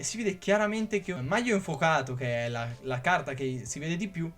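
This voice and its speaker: A man narrating a scripted explainer video, reading from a script and stumbling now and then. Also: a little high. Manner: reads from a script, occasional stumbles. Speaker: YouTube creator.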